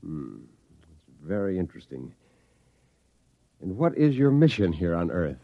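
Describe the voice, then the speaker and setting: An actor playing an uneasy, civil professor in an old radio drama, speaking earnestly with courteous hesitation. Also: male voice, sits low, very animated, a little slow, vocal fry, full character voice. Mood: curious. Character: uneasy, civil professor and husband, protesting politely. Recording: dull, lo-fi old radio recording.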